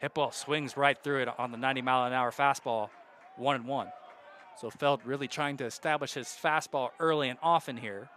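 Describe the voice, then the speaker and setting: A young man calling baseball play-by-play on radio, walking through counts and base runners in a steady flow. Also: medium pitch, brisk, slight background noise. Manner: steady count-and-situation narration, rising loud on hits. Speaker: play-by-play announcer.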